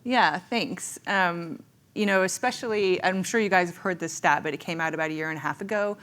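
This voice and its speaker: A woman's voice: fairly low pitch, brisk, a bit bright. A woman who founded a tech startup, speaking candidly and with self-assured humor as a panelist. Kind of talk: panel discussion.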